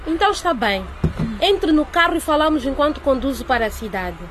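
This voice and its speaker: A woman's voice: sits high, sing-song, a little fast. A woman playing a busy, firm but sympathetic headmistress in a radio drama, speaking politely and formally. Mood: polite, hurried. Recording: clear ambient vehicle noise behind.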